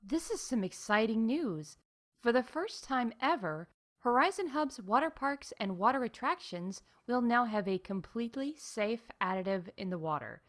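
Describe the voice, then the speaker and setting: A woman playing an upbeat theme park announcer in space, reading scripted comedic announcements in a deadpan corporate manner with emphatic asides. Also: on the high side, moderate pace, lightly acted. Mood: chipper, informative.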